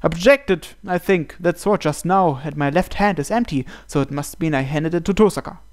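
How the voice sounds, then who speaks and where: A man's voice: on the high side, moderate pace. A man hosting a gaming video, reading visual novel narration aloud. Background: soft game music.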